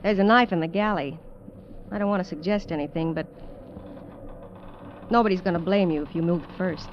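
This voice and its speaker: An actress playing a weary, candid dancer adrift on a derelict ship in 1950s radio drama style, talking in a hesitant, low-key way. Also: female voice, normal speed, slight background noise, natural voice. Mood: uneasy, confiding. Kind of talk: 1950s radio drama acting and first-person narration. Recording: dull vintage radio, music behind.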